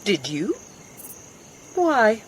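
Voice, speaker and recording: A woman's voice: mid-range voice, huge pitch swings, very slow, slight background noise, full character voice. An actress playing a languid, imperious middle-aged Spanish noblewoman in a radio drama, brooding and commanding. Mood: brooding, seductive. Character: languid, imperious older Spanish noblewoman, sun-weary mother.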